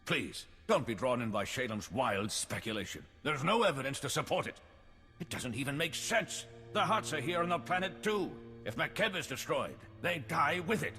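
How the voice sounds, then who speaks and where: An actor playing an anxious civilian official in a video game, earnest and placating in manner. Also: male voice, fairly high pitch, expressive, normal speed, pushed and bright, long reverb tail, soft background music. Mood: earnest, resigned. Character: anxious male civilian official, pleading and pragmatic appeaser. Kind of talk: scripted game acting.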